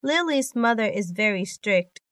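A woman reading scripted English lesson sentences for a listening test, in a measured, carefully enunciated manner. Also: mid-range voice, expressive, a bit bright, faint music behind. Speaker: exam listening test reader.

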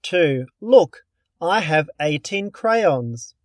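Very slow, deliberate test reader. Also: medium pitch, lively intonation, dry recording, studio quality. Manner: careful, deliberate enunciation. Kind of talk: scripted slow test reading.

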